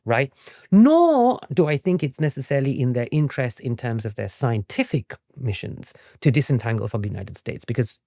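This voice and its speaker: A man's voice: mid-range voice, warm tone, dry recording, studio quality. A man giving explanatory answers as an expert interview guest, often tagging his points with a questioning "right?". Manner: frequently tags statements with "right?". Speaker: interview guest, academic author and historian.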